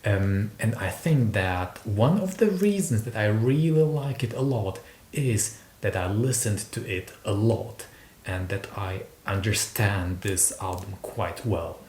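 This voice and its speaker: A man's voice: animated, slow pace, darkish tone. A man from Slovakia with a Slovak accent, talking casually to camera in a hesitant, rambling way, often repeating words. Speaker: video host, record collector. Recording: roomy echo, noticeable low rumble noise.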